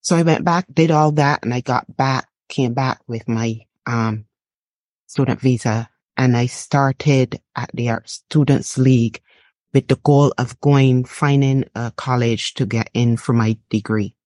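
Middle-aged Barbadian woman, telling her story haltingly. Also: rumbling low, steady tone, darkish tone, no room sound. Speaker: interview guest, artist and writer. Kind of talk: reflective personal storytelling.

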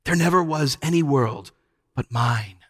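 Man voicing a sweetly coaxing witch queen, pitched up. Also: animated, husky, no echo, studio quality. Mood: hypnotic. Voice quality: soft, sweet, musical. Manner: archaic courtly speech, soothing, drawn-out. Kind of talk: audiobook character voice.

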